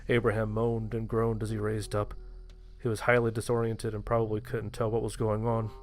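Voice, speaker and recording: A man's voice: on the low side, monotone, normal speed, a little mellow, faint music behind. A man reading a scripted first-person horror story as its narrator.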